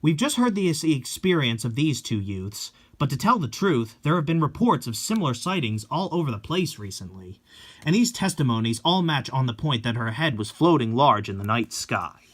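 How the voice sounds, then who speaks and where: A man's voice: medium pitch, big pitch swings, moderate pace. A man playing a serious television news presenter, speaking formally and earnestly.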